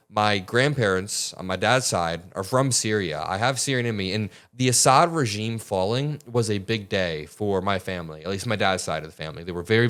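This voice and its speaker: A man with a fairly low voice talks through breaking news as a commentator, earnest and emphatic, pressing his argument point by point. He addresses his audience directly, like a host on his own channel. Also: fairly bright tone, clear, resonant tone. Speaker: political commentator.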